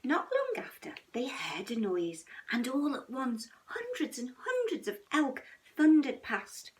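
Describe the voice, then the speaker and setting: A woman reading a children's bedtime story expressively, dramatic and at times hushed. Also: sits high, huge pitch swings, a little slow, far from the mic.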